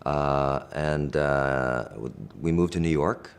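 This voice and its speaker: A middle-aged man, a native Korean speaker raised in the United States, giving thoughtful, measured interview answers with frequent fillers and restarts. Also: rumbling low, clear, resonant tone. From Korea, raised in Pennsylvania.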